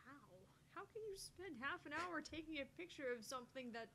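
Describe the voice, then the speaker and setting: High-voiced woman, noisy recording. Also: brisk, bright, forceful tone. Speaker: gaming channel co-host. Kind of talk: casual chat.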